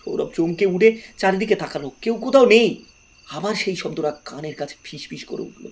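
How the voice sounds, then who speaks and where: High-pitched, animated male horror narrator. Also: fairly quick. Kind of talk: dramatic horror story narration.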